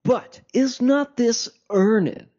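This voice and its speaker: A man's voice: extremely high pitch, slow, warm tone, breathy edge, lo-fi sound, heavily acted. A man playing a pompous, earnest political podcast host who speaks in portentous, clipped, emphatic phrases and is easily exasperated. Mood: probing, grave. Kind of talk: mock news interview, comedic scripted acting.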